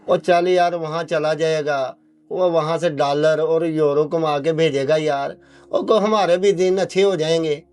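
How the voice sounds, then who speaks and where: A man's voice: fairly high pitch, steady tone, measured pace, darkish tone, no echo, natural voice. A man playing a poor, trusting old village father, pleading and affectionate in a dramatised moral story. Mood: stubborn, coaxing. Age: older. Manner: pleading, repeatedly addresses listener affectionately.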